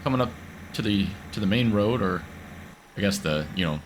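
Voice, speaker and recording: Deep voiced guy with a cold, rambling thru farm game commentary, um and uh everywhere. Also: lively intonation, normal speed, a little hiss or hum. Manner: rambling, filler-heavy, chatty. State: getting over a cold. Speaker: gaming video host. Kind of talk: casual gameplay commentary.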